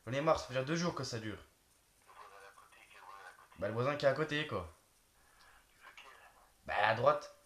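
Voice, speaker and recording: A man's voice: mid-range voice, expressive, moderate pace, pauses often, fairly bright tone. A young man bantering casually in slangy, teasing, sweary speech while hosting prank phone calls. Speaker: prank call host. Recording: echoey room with clicks and noise.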